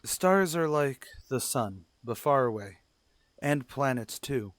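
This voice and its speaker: A man playing a stern, demanding father in an old-style radio drama, speaking formally and with measured admonishment. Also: mid-range voice, animated, no echo. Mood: measured, reassuring. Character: stern, demanding 1940s father scolding his young son. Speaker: voice actor.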